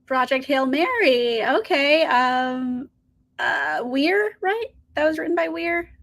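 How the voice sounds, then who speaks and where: A woman's voice: sits high, big pitch swings, slow pace, a bit bright. A woman hosting a casual livestream, greeting viewers and answering their comments in an upbeat, chatty way with frequent laughs, her voice a little scratchy today. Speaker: book channel host.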